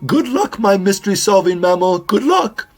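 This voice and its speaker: A man's voice: high-pitched, sing-song, soft background music, pitched above the performer's own voice, exaggerated voice. A man voicing a dramatic, genteel, fretful mother owl in a children's audio drama. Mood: grateful. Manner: theatrical, fretful, drawn-out emphasis.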